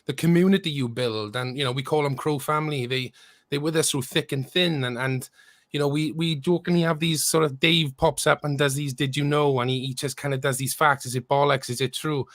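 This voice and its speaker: Slightly high-voiced rambling male host. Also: steady tone, fairly quick, a bit bright, dry recording. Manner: rambling, many restarts and fillers. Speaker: podcast host. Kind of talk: casual chat.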